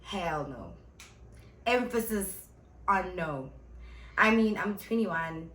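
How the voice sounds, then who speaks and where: A woman's voice: fairly low pitch, expressive, slow, a bit bright, roomy sound. A woman in her twenties answering viewer questions in a casual vlog, chatty, blunt and playful, often addressing her viewers as guys. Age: 20s. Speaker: vlogger and club host.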